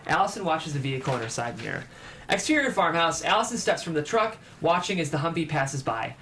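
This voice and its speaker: Narrator for screenplay table read, scripted stage directions, middle pitch, some background noise. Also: big pitch swings, brisk, bright, forceful tone.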